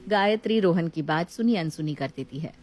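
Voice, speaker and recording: A woman's voice: lowish voice, expressive, normal speed, a little mellow, faint music behind. A woman storyteller reading scripted narration of a moral family story.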